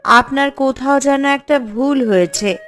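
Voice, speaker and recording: Female actor as earnest village sister, slow, fairly high, resolute, cartoon drama, background music. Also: a bit bright. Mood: polite. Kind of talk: cartoon voice acting.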